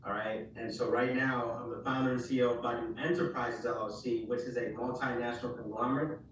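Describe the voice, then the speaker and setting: A man's voice: medium pitch, recorded from a distance, dull audio, a little hiss or hum. Businesslike man in a very echoey room. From Ghana. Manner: steady, polite, businesslike. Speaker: guest accountant. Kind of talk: self-introduction on a web call.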